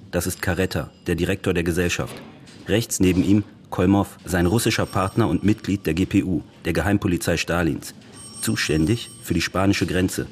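An actor playing a matter-of-fact police investigator, giving clipped factual briefings in a radio crime play. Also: male voice, low voice, a little mellow, a little hiss or hum, close to an everyday voice.

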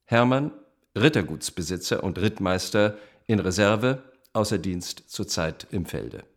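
An actor playing a self-assured estate owner and cavalry officer, formally reading out a certificate in a radio play. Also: male voice, sits low, expressive, unhurried, heavily acted. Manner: formal, declarative.

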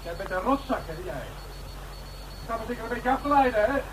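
An actor playing a cornered, defiant young criminal, jeering and agitated in a radio crime drama. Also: male voice, very high voice, lively intonation, moderate pace. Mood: wary, hostile. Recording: noisy old radio drama recording.

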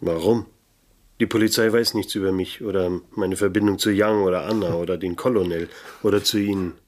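Low-voiced world-weary noir detective. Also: male voice, steady tone, fairly quick, no room sound. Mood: questioning, bitter. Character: disillusioned, world-weary male private detective, wry narrator-hero. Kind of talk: noir radio drama, first-person narration.

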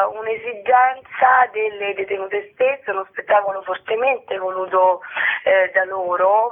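A woman explains her work in a radio interview, choosing her words reflectively. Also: medium pitch. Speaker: theatre director being interviewed. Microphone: telephone. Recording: narrow tinny phone line.

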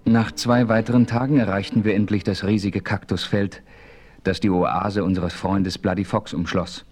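An actor playing a solemn frontier hero who narrates his adventure in a measured, formal audio drama style. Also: fairly low pitch, fairly even intonation, darkish tone, soft background music, lightly acted. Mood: solemn narration.

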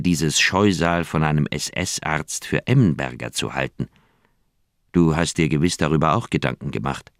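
Deep-voiced older doctor. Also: male voice, no echo, clean studio sound. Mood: thoughtful. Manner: measured, deliberate storytelling. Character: older male Swiss doctor, thoughtful, candid old friend. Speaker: radio drama actor. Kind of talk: radio play dialogue, reminiscing narration.

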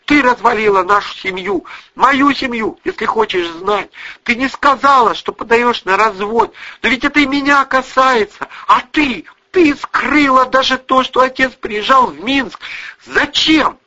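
A man's voice: very high voice, lively intonation, normal speed, hardly any pauses, pushed and bright, dull audio, voice pushed up, full character voice. A man voicing a hurt, defiant teenage daughter in an emotional, accusing manner for an audiobook story. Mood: angry, defiant.

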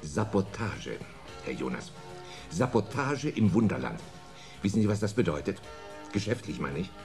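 An actor playing a formal, harried security chief in a German radio play, earnest, indignant and emphatic. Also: male voice, deep voice, sing-song, moderate pace, a little mellow, soft background music. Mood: formal, urgent.

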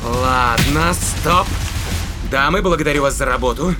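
An actor playing a ruthless, mocking spaceship captain in a science-fiction audio play, taunting and commanding. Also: male voice, medium pitch, unhurried, over loud music, natural voice. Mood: cold, commanding.

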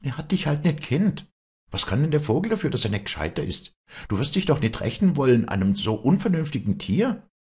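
A male narrator plays a proud young woman of the mountains in an audiobook drama. Her voice is pushed well up and swings widely, with a husky grain. She speaks an emphatic Bavarian-Austrian Alpine dialect, fiery and protective. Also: on the low side, sing-song, normal speed, darkish tone, pitched above the performer's own voice, full character voice. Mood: pleading. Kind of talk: dramatic audiobook character dialogue.

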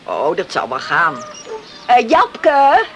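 An actress playing a practical, managing farm wife in a Dutch radio play, brisk and down-to-earth. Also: female voice, mid-range voice, huge pitch swings, heavily acted. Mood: practical, curious. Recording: noisy old radio play recording.

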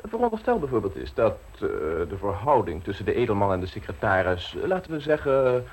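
An actor playing a smooth, self-assured scheming secretary in a radio play, teasing and unflappable, with a husky voice. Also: male voice, fairly low pitch, sing-song, fairly quick. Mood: suave, scheming. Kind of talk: radio play acting in Dutch.

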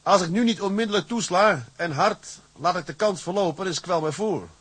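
A shrewd, plain-spoken tugboat captain in a radio play. His voice is husky and fairly high for a man, recorded close and dry. He talks bluntly, with wry defiance and command. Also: a little fast, fairly bright tone, no echo. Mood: excited scheming. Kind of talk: Dutch radio drama acting.

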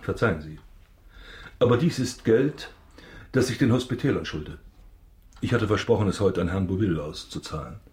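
An actor in a German radio drama playing a pompous, self-pitying banker baron, formal and theatrical. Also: male voice, fairly low pitch, expressive, moderate pace, long pauses, darkish tone, lightly acted. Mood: uneasy.

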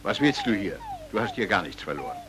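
Brisk doctor, animated voice. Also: male voice, fairly low pitch. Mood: shooing, coaxing. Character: curt, formal male doctor with a dog. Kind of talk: old German radio play acting. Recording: faintly noisy old radio drama recording.

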